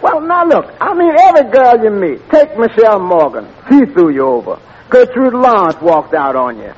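Muffled old radio, wry high-voiced waiter. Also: male voice, big pitch swings, normal speed, husky, heavily acted. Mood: needling. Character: dry-witted waiter needling his boss. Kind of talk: 1940s radio comedy acting. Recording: muffled 1940s radio with faint noise.

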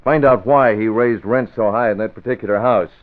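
A man's voice: lowish voice, brisk, natural voice. Man playing composed young publisher, tinny radio. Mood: decisive. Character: composed, decisive young male newspaper publisher. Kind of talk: 1940s radio acting. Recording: tinny, narrow old radio recording.

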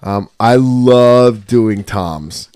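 A man's voice: medium pitch, very slow, a little mellow, clean tone, no echo, clean studio sound. A young man co-hosting a podcast in casual chat, telling stories animatedly and acting out quotes in different voices. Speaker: podcast co-host.

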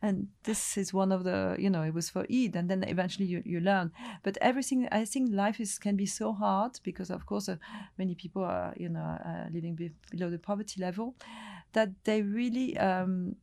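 Gravelly-voiced middle-aged woman. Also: mid-range voice, fairly quick, few pauses, mellow. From Lebanon. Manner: hesitant, many restarts and fillers, chatty storytelling. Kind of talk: relaxed interview conversation.

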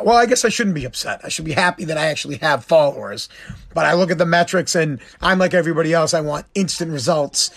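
Hoarse middle-aged guy, voice shot, kinda high, rambling podcast chat. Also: fairly bright tone. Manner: rambling, blunt, self-deprecating. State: hoarse, lost voice. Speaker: podcast host. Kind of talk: casual solo podcast talk.

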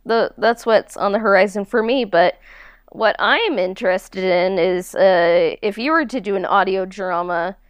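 A woman's voice: mid-range voice, measured pace, clean tone, no echo. A woman co-hosting a podcast, chatting casually. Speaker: podcast co-host, audio drama creator.

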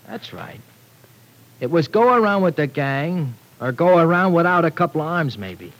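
An actor playing a streetwise young city gang member in a 1940s radio drama, talking tough, sarcastic and bitter in slangy speech. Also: male voice, on the high side, sing-song, moderate pace, rough recording.

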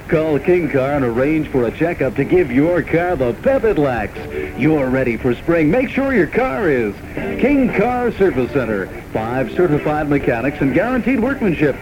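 Commercial spot: male announcer, rhythmic scripted pitch, lively intonation, music bed, muffled old radio sound. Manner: rhythmic, polished sales delivery. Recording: dull old radio recording.

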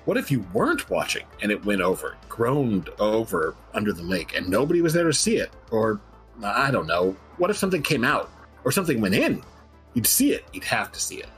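A man's voice: on the low side, sing-song, brisk, a bit bright, soft background music. A man playing an unnerved, obsessed interview subject in a dramatic reading, anxious and emphatic. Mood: anxious dread.

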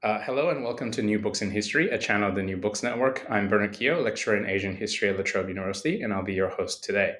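A man's voice: fairly low pitch, rapid-fire, hardly any pauses, fairly bright tone. A man hosting an academic book podcast, reading a polished introduction and asking questions. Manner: polished, reads the introduction smoothly. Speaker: podcast host and history lecturer. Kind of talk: scripted introduction then interview questions.

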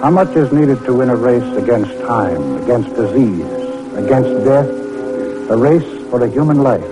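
A man's voice: mid-range voice, expressive, normal speed, rough recording. Male vintage radio announcer, formal dramatic narration, mid-range voice, prominent music bed. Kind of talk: 1940s scripted radio narration.

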